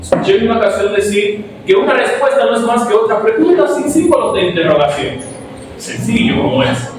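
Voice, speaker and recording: A young Dominican man giving an academic speech as a philosophy student, with many rhetorical questions put to the audience. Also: high voice. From Dominican Republic. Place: event hall before an audience. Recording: very echoey, distant mic with noise.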